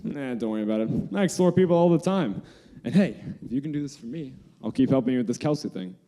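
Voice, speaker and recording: A teenage actor playing a cocky, scheming male student candidate who raps and smooth-talks in a staged musical reading. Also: mid-range voice, expressive, fast talker, dark tone, close to an everyday voice. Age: teens. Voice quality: smooth-talking, persuasive. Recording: stage in a long-echo hall with music.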